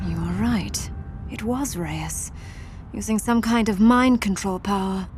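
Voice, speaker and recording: A woman's voice: mid-range voice, unhurried, loud music behind the voice, lightly acted. An actress playing a composed, wry, commanding telepath woman in a video game. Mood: cool wry. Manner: composed, dry, authoritative. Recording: game soundtrack under the voice.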